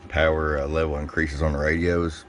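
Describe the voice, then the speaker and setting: A man's voice: rumbling low, little pitch movement, a little fast. A man giving a casual, folksy technical product demonstration as a radio technician and seller. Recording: clear background noise, room ambience.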